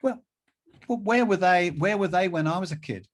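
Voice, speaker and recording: A middle-aged man speaking conversationally as an interview guest, haltingly, often repeating phrases to drive them home. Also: sits high.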